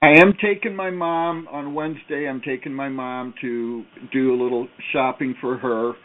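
A man co-hosting a casual talk show, halting and self-deprecating with many "uh"s. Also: mid-range voice, steady tone. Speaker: co-host. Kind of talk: casual chat. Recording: tinny phone-line radio sound.

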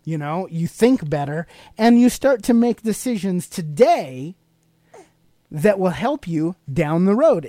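A man hosts a podcast in a conversational, motivational teaching style. His intonation moves around a lot, and his tone is mellow and relaxed. He explains step by step and often puts questions to the listener, in a close, dry sound. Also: high voice, dry recording. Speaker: podcast host.

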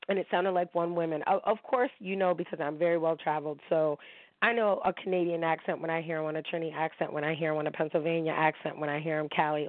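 A woman's voice: sits low, dry recording. A woman hosting her own internet radio show, talking candidly and emotionally to listeners while sounding drained. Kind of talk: casual monologue. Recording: dry, tinny phone-line sound.

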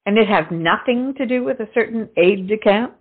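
An actress playing a bawdy, teasing young confidante with a knowing manner in a stage comedy. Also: female voice, medium pitch, brisk, phone-quality sound, close to an everyday voice. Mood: sly teasing. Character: Elizabeth, cheeky, bawdy young lady confidante.

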